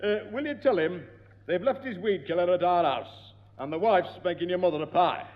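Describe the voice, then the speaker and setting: An actor playing a pompous, chatty neighbour in a 1960s radio sitcom, speaking in a theatrical, rambling way. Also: male voice, high-pitched, brisk, noisy background, lightly acted. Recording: dull echoey 1960s radio recording.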